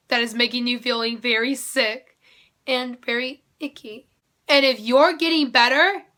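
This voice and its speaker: A woman's voice: sits high, bright, forceful tone. A young woman vlogger chatting upbeat to her viewers, her voice nasal and congested from a head cold. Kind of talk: casual talk to camera.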